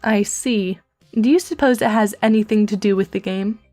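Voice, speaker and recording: A dry, composed teenage girl in a webcomic dub, acted by a woman. She speaks at a medium pitch in long, articulate, deadpan sentences, with faint music underneath. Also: normal speed, close to an everyday voice. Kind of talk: fan comic dub acting.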